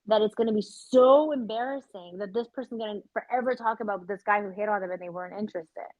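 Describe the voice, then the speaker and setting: A woman co-hosting a podcast in casual chat, with a loud, high-pitched voice, talking openly, swearing casually and laughing often. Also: fast talker, dry recording, poor audio. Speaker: podcast co-host.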